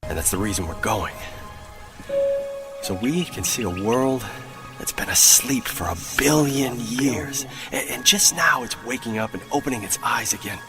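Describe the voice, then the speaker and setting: A man's voice: medium pitch, lively intonation, normal speed, natural voice. A man acting as a wistful space explorer in a sci-fi audio drama. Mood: hushed wonder. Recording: music laid loudly over speech.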